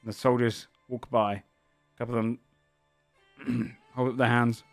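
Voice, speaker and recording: A man's voice: mid-range voice, level tone, slow pace, dry recording, soft background music. A man narrating a tabletop game as game master, describing the scene in a searching, descriptive way.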